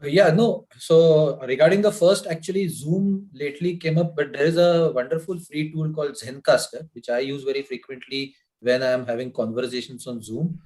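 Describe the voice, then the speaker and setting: A man on a video call sharing practical advice, talking through it with quick self-corrections. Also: a little high, steady tone, a little mellow, low-quality recording. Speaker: club member. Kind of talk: online meeting discussion.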